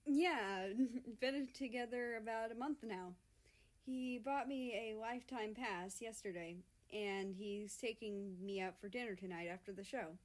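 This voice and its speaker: A woman voicing an excitable, bashful young man in love in a story reading. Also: mid-range voice, a little slow, lightly acted. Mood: bashful, giddy.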